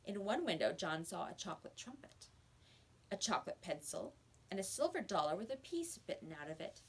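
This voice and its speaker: A woman reading a children's story aloud as a teacher would, with expressive narration. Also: mid-range voice, fairly quick, breathy edge.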